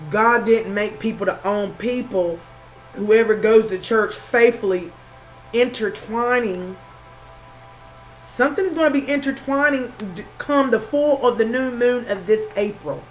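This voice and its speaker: A woman's voice: fairly high pitch, unhurried, soft background music, poor audio. A woman with a Southern accent doing an informal tarot reading, repeating herself and calling the listener honey. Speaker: spiritual reader.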